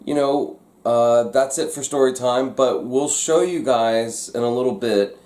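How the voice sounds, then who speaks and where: An echoey, roomy recording of a man with a mid-pitched voice. He hosts a vlog and talks casually to his viewers, telling a story in everyday conversational language. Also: unhurried, darkish tone. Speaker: vlog host.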